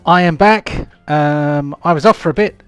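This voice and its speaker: A man's voice: a little high, moderate pace, clean tone, no echo, soft background music. A man, hosting and running a live-play tabletop game in a chatty, rambling way full of ums and restarts. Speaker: game master.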